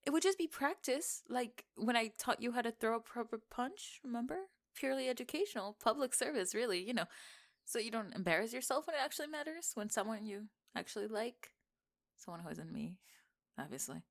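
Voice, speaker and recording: A woman playing a bossy, secretly smitten young tomboy best friend in an intimate ASMR roleplay, teasing and defensive, with halting, stammering delivery. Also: a little high, fairly bright tone, heavily acted. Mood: awkwardly teasing.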